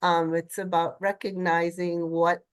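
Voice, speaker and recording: A woman's voice: lowish voice, flat delivery, a little slow, clean tone. An older woman with a cold and a nasal voice, sharing a personal story candidly on a group video call, rambling and trailing off mid-thought. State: has a cold. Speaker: group participant.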